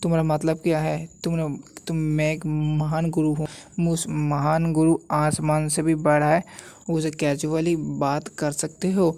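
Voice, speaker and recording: A man's voice: a little high, completely flat delivery, unhurried, gravelly, mellow. A man voicing a proud, scolding old martial arts master spirit with a boastful, condescending manner in a story recap. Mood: indignant, boastful.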